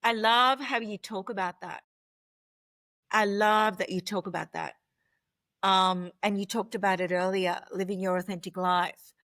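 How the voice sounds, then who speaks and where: A woman's voice: mid-range voice, fairly even intonation, a little slow, a bit bright, dry recording, clean studio sound. A woman with an Australian accent hosts a casual interview, speaking in an effusive and enthusiastic way. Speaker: podcast host.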